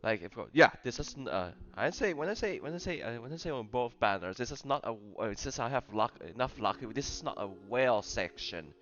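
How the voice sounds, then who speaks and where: A man giving casual gameplay commentary in a halting, rambling way, often repeating his phrases. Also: mid-range voice, lively intonation, highs cut off, over music. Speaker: gamer commenting on his own play.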